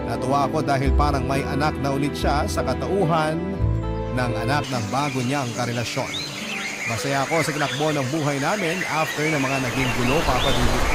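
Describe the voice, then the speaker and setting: A man reading a scripted first-person confessional story in a reflective, earnest way. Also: fairly high pitch. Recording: loud music under the voice. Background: music.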